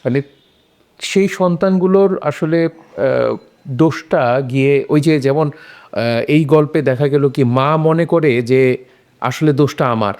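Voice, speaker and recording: A man's voice: on the high side, takes it slowly, pauses often, a little mellow. A man on a discussion panel, explaining his opinions on a short story in a casual conversational way.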